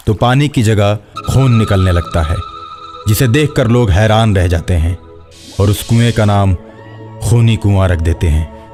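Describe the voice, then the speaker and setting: A man's voice: fairly low pitch, a little mellow. A man narrating a scripted horror story.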